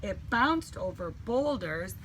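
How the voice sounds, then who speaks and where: A woman reading a children's storybook aloud in an animated, dramatic way with deliberate emphasis. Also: medium pitch, a little hiss or hum. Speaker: teacher reading a picture book.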